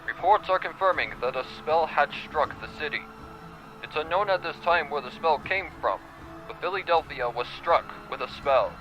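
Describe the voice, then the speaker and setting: A man playing an anxious male pony television news anchor, announcing formally with strained calm in an audio drama. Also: a little high, moderate pace, muffled recording, faint music behind. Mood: tense composure. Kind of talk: news broadcast acting in fan audio drama. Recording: noisy staticky TV broadcast with sirens.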